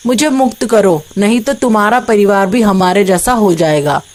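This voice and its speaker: Captive woman ghost played by an actress, imploring yet threatening, mid-pitched, for animated horror. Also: normal speed, natural voice. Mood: pleading, threatening. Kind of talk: animated horror story voice acting.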